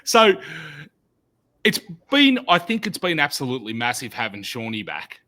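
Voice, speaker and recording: Australian man, 30s, loud animated storyteller, bright forceful tone, podcast on video call. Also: mid-range voice, normal speed. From Sydney, Australia. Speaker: podcast co-host. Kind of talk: casual podcast chat. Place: home studio. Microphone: desk mic on video call.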